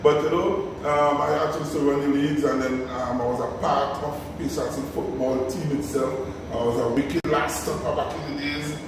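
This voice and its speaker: A Tobagonian man in his fifties speaks in a fairly high voice, recorded from a distance in a very echoey room with a long reverb tail. Background noise sits clearly behind him. He tells stories animatedly and keeps checking in with "right?". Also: moderate pace, few pauses, over music. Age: 50s. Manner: animated storytelling with tag questions. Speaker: guest, community sports organizer. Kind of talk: conversational interview.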